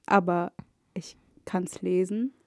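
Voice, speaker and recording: A woman's voice: lowish voice, steady tone, slow pace, dry recording, clean studio sound. A woman from Egypt, a native Arabic speaker, chatting as a podcast guest and often slipping English words into her talk. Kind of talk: casual chat.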